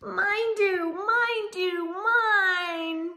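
A woman voicing eager little animal children from a storybook in an excited, sing-song way. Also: very high-pitched, drawn-out words, voice pushed up, exaggerated voice. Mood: excited, proud. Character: eager little animal children claiming their special somebody. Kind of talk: storybook read-aloud.

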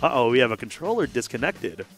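Excitable young man calling a fighting game. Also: medium pitch, fast, faint music behind. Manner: excitable, jokey, gets loud when hyped. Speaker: esports commentator. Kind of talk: live fighting game commentary. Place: large hangar hall at a gaming event.

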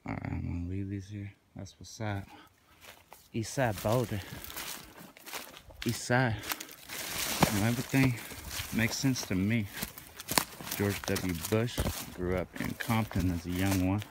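A laid-back man with a deep voice and an unhurried drawl, talking offhand in slang as he walks a wooded hillside. His handheld phone picks up rustling brush and clear outdoor noise all around him. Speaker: vlogger. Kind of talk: rambling casual commentary while hiking. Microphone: handheld phone camera while walking.

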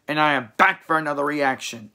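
Hoarse young man chatting casually. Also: fairly high pitch, a little fast, sharp tone. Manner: rambling, self-correcting, chatty. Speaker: reaction video host. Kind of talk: casual reaction commentary. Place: small room indoors.

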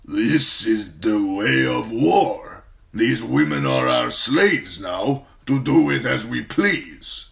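A man voicing a fierce, proud male warlord who speaks slowly and loudly in a commanding way. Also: expressive, gravelly, a crowd in the background, exaggerated voice. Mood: stern. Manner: slow, loud and booming.

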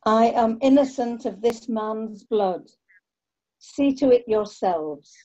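A woman's voice: on the high side, slow pace, a little mellow. A woman playing a Roman governor in an amateur Bible drama, speaking formally and emphatically. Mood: protesting. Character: Roman governor questioning a prisoner and crowd. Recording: dull lo-fi home recording.

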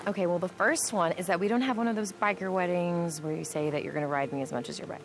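A woman's voice: lowish voice, fast, music in the background, close to an everyday voice. An actress playing an affectionate young woman in a video game, with an American accent and a casual, teasing way of talking. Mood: playful, loving.

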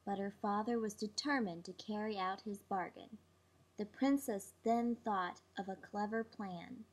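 A woman's voice: medium pitch, unhurried, rough recording. A woman reading a children's fairy tale aloud as a storybook narrator. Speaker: storybook reader.

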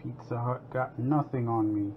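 A man's voice: mid-range voice, fairly even intonation, moderate pace, phone-quality sound, slight background noise. A man from Jamaica hosting a home cooking show, chatting casually and proudly as he makes a pizza in his kitchen.

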